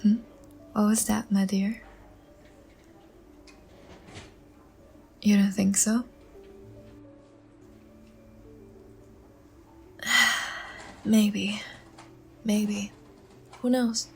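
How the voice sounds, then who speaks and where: Female vampire, ageless and refined, played by a woman, for ASMR audio drama. Soft whispery delivery, slow and creaky, wistful and tender. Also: mid-range voice, steady tone, soft background music, exaggerated voice. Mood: tender, teasing. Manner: slow, musing, gently formal with pauses. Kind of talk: intimate ASMR audio roleplay.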